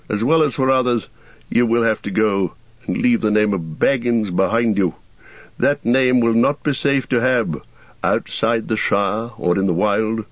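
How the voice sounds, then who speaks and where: A man's voice: on the low side, no echo, lo-fi sound. A man voicing a stern, wise old male wizard who speaks in a grave, commanding way.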